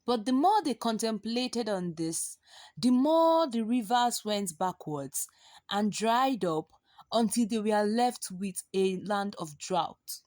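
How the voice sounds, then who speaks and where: A woman's voice: mid-range voice, lively intonation, slow, darkish tone, no echo, clean studio sound. A woman telling a folktale dramatically and expressively, drawing out and repeating words for effect. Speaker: storyteller.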